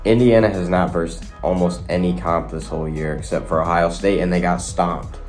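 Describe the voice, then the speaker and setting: A man's voice: low-pitched, fairly even intonation, normal speed, darkish tone. A young man with a cold, sounding nasal, casually chatting through sports predictions and rambling to his viewers. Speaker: sports video host. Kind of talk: casual predictions talk to camera. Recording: faint guitar music behind.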